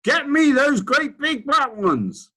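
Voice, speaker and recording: A man's voice: very high-pitched, big pitch swings, slow, pitched above the performer's own voice, exaggerated voice. A man voicing a pompous, short-tempered old duke who bellows and splutters. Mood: greedy demanding. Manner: blustering, shouting.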